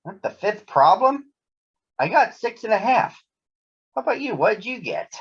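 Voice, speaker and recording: Man as a high-pitched schoolboy bear cub. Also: big pitch swings, normal speed, slightly rough, heavily acted. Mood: puzzled. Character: schoolboy bear cub puzzling over homework. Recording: dull lo-fi sound.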